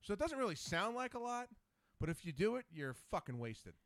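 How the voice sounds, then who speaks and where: Lively, profane man in his forties. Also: sits high, fairly quick, no room sound. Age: 40s. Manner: loose, profane, rambling storyteller. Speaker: podcast host. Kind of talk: casual podcast chat.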